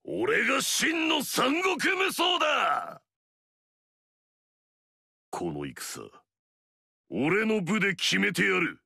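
A man's voice: high voice, wildly swooping pitch, very slow, creaky, long pauses, pushed and bright, breathy edge, no room sound, shouting, clean studio sound, exaggerated voice. An actor playing an arrogant, fierce male warlord in a video game, swaggering and barking battle cries.

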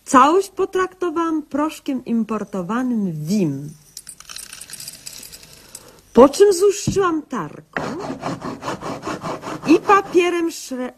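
A woman's voice: sits high, big pitch swings, slow, long pauses, a little hiss or hum, heavily acted. An actress playing a self-important young woman doctor who narrates her own cases in a pompous, official way in a radio comedy sketch. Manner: pompous, bureaucratic, mock-official.

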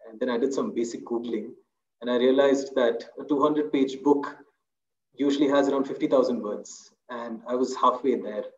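A man in his thirties speaks from a small room over a video call, talking at a mid pitch. His manner is thoughtful and loose, full of fillers and restarts. The audio sounds roomy and a little dull. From India. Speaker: author. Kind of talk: webinar talk.

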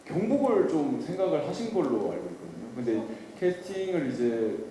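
A man's voice: fairly high pitch, sing-song, brisk, warm tone. Easygoing man in an echoey hall. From Daegu, South Korea. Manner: easygoing, self-deprecating, hedging. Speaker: lead actor at a press event. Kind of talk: answering press questions. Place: large event hall. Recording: echoey event hall, some noise.

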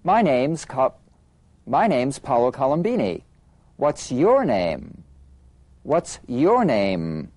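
A man models a practice conversation for learners, speaking each line slowly and stressing key words. His pitch moves a lot to show intonation. The recording is dry and close. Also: very animated, a little mellow, dry recording. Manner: repeats lines slowly, stressing words clearly. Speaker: English teacher modeling dialogue. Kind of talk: slow dialogue practice.

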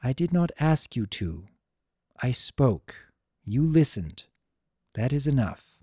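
A calm elder-sister pony princess from a storybook reading, as voiced by the narrator. She is wise and a little weary. Her delivery is unhurried and mellow, solemn yet gentle. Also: mid-range voice, slightly rough, no echo, clean studio sound, exaggerated voice. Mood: gentle, amused.

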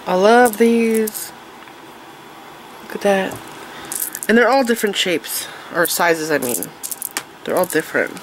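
A woman's voice: mid-range voice, animated, takes it slowly, long pauses, slight background noise, poor audio. A woman with a congested, nasal voice from allergies, chatting casually and eagerly as she shows her craft supplies. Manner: chatty, enthusiastic, with fillers and restarts. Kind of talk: casual show-and-tell chat. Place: indoors at a tabletop, overhead camera on hands.

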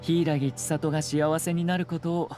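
An actor playing a calm, courteous, scheming young nobleman in an anime game, speaking in a measured, confident way. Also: a little high, close to an everyday voice. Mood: composed, sly. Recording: music behind the voice.

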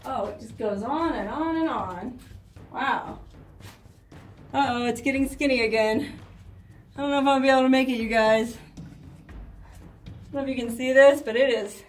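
Excitable woman exploring a cave. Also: high voice, unhurried, pauses often. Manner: chatty, excitable exclamations. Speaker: vlogger exploring places. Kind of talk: casual vlog narration. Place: narrow underground cave. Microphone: handheld camera.

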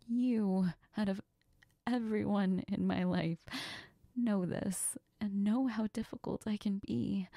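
A woman playing a heartbroken, self-doubting young best friend in an intimate audio role-play, tearful and sniffling, speaking haltingly in a near whisper. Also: mid-range voice, vocal fry, dark tone, dry recording, studio quality. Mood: dejected, self-blaming.

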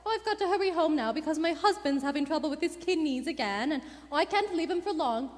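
Woman acting as household cook, chatty, working-class, animated, radio drama, hall echo. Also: sits high, brisk. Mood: matter-of-fact. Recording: echoey theater hall.

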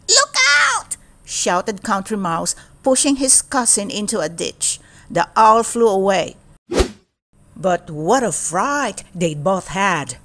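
A woman storyteller reading a children's storybook aloud in a deliberate, expressive way. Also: medium pitch.

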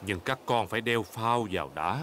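A man's voice: on the low side, normal speed, very noisy, natural voice. A man voicing a jolly, chubby father pig in a cartoon dub, good-humored and chuckling. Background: loud cartoon music.

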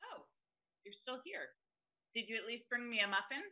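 An actress playing an imperious businesswoman in a comedy table read, curt, deadpan and dismissive. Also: a little high, normal speed, exaggerated voice. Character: imperious, cutting businesswoman sister. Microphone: video call.

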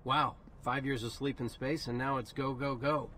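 An actor playing an eager, easygoing young military recruit in a video game. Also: male voice, mid-range voice, steady tone, normal speed, pushed and bright, a little hiss or hum, natural voice.

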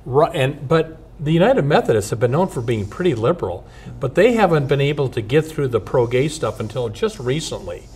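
A man's voice: medium pitch, very animated, a little mellow, a little hiss or hum. Older clergyman host, blunt questions. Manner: blunt, summarizing questions, quick interjections. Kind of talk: probing interview questions. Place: studio set with bookshelf backdrop, seated at table.

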